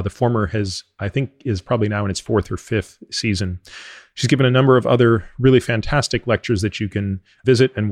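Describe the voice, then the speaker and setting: A man speaking as a podcast host, introducing and interviewing in a measured, articulate way. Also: fairly low pitch, dry recording, studio quality.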